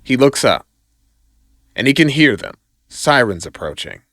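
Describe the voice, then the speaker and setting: A man's voice: medium pitch, big pitch swings, unhurried, a bit bright, dry recording, clean studio sound. A man narrating scripted action in a dramatic audio drama style.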